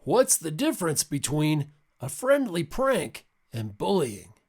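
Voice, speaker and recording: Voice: medium pitch, big pitch swings, slow, darkish tone, breathy edge. A lesson presenter reading scripted discussion questions aloud.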